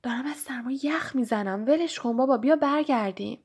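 A woman voicing an excitable young schoolboy wizard, raising her voice higher and louder than her narration. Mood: grumbling.

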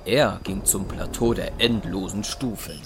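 Lowish-voiced male story narrator. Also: unhurried, creaky, noisy background. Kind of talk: scripted audio drama narration. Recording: music behind the voice.

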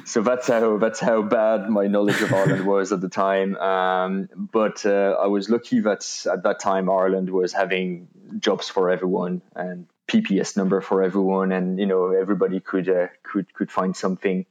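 A man's voice: deep voice, flat delivery, moderate pace, few pauses. A middle-aged man from France with a French accent, a podcast guest talking casually and hesitantly with many restarts. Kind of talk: casual interview talk. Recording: dull lo-fi sound.

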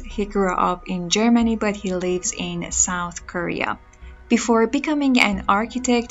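A woman's voice: mid-range voice, muffled recording. A woman whose first language is Persian, hosting a podcast and introducing her guest in an upbeat way. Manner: upbeat, laughing between phrases. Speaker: podcast host.

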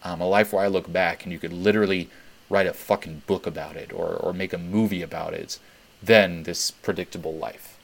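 A man's voice: sits low, fairly even intonation, fairly quick, creaky. A young man from Switzerland hosting a webinar Q&A, talking candidly and swearing freely as he repeats points for emphasis. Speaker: webinar host. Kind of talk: casual Q&A talk.

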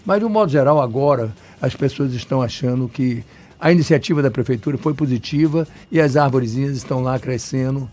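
A man's voice: mid-range voice, a little fast, dark tone. Talkative Bahian man explaining at length. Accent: Bahian Brazilian Portuguese. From Salvador, Bahia, Brazil. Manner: talkative, emphatic, digressive with restarts. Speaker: urban planner interviewee. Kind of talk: radio interview answers.

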